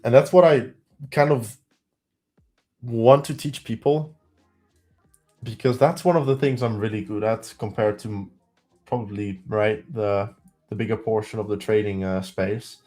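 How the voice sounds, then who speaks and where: A man's voice: lowish voice, fairly even intonation, a little slow, long pauses, mellow, faint music behind. A man with a heavy Belgian accent hosting a live trading stream, thinking aloud as he counts through trades and results.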